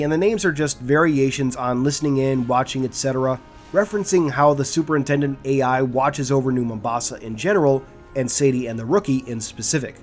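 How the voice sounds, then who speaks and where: A man delivers scripted narration as the host of an explainer video. His voice has an average pitch, and music plays behind him. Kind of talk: scripted guide and lore narration.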